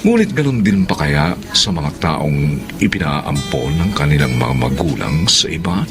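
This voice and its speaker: A man hosting and narrating a radio drama in a deliberate, theatrical announcer style. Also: rumbling low, expressive. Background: music.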